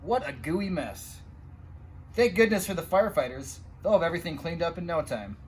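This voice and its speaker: A man reading a children's picture book aloud in an animated, expressive way, with asides to young listeners. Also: a little high, normal speed, slight background noise. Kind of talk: animated storytime read-aloud.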